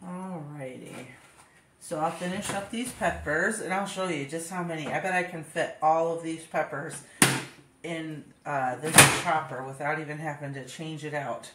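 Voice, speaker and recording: A woman with a stuffed-up, congested voice talks her way through chopping and bagging food in her home kitchen, filling pauses with easygoing ums. The room rings with echo, and steady background noise sits under her voice. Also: low-pitched. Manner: chatty, thinks aloud with fillers. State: stuffed-up nose. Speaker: home cook vlogger. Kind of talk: casual kitchen demonstration.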